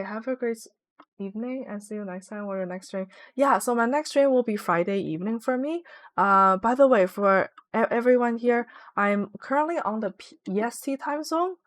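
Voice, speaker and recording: A young woman streaming from home, chatting casually and rambling with lots of "like" fillers as she plays. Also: on the high side. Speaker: Twitch streamer. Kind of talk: casual live-stream chat while gaming.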